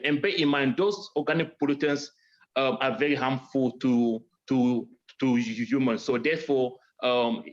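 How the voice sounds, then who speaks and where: A man from Cameroon giving a research talk, pausing often with ums and restarting his phrases. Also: mid-range voice. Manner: hesitant, many ums and restarts. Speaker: doctoral student presenter. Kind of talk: research presentation and Q&A. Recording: dull lo-fi sound.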